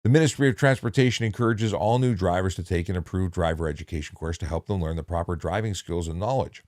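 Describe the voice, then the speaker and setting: A man reading instructional material in a measured, deliberate way, with casual off-the-cuff asides. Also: low voice, a little mellow, no room sound, clean studio sound. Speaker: narrator reading a handbook with commentary.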